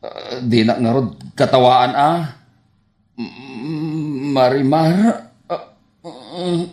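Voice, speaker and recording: Slow, stammering shy bachelor, roomy sound. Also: male voice, mid-range voice, darkish tone. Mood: awkward, shy. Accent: Ilocano. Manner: stammering, hesitant, flustered. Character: painfully shy, stammering, woman-fearing handsome young bachelor. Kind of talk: Ilocano radio comedy drama acting.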